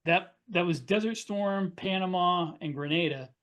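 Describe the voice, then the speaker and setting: A man's voice: high voice, normal speed, a bit bright. A middle-aged man co-hosting a casual panel discussion, explaining his opinions at length. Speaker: podcast co-host.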